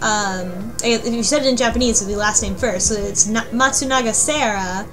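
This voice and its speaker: Female panelist, highish voice, informal group-chat delivery, music clearly behind her. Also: moderate pace, poor audio. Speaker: podcast panelist. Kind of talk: casual chat.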